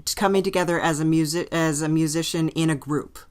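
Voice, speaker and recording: A woman's voice: sits low, fairly even intonation, brisk, a little mellow. A woman interviewer asking casual, conversational questions.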